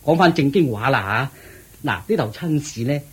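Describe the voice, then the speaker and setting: A fretful, raspy rich father. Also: mid-range voice, animated, moderate pace. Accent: Hong Kong Cantonese. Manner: pleading, fretful. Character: proud worried wealthy older father. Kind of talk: radio drama acting.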